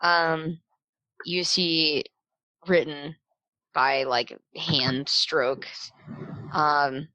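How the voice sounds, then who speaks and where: A woman's voice: deep voice, steady tone, very slow, pauses often, pushed and bright, no room sound, lo-fi sound. A woman narrating a tabletop game as game master in an informal, joking, conversational way.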